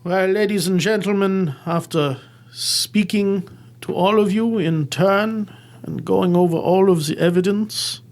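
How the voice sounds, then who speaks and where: A man's voice: high voice, measured pace, a little mellow. A man playing a pompous detective making a grand accusatory reveal. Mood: accusing. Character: pompous male detective giving a big reveal.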